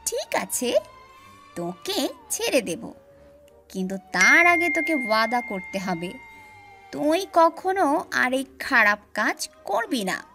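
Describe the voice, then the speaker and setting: Woman voicing a high, menacing female ghost. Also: very animated, bright, forceful tone, soft background music, full character voice. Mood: stern warning. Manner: menacing drawn-out threats with cackling laughter. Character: huge vengeful female ghost sprung from a doll. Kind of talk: animated children's horror story.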